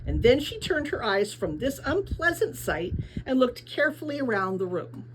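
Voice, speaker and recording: A woman reading a children's novel aloud as expressive scripted narration. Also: sits high, normal speed, noisy background. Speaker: audiobook reader.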